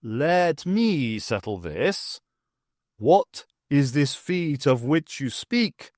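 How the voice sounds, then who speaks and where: A cold alien overlord, put on by a reader speaks very slowly and condescendingly, as if addressing an infant. The reading is dry and close, with lively pitch. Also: no room sound. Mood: coldly superior. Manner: slow, condescending. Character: cold, menacing alien leader.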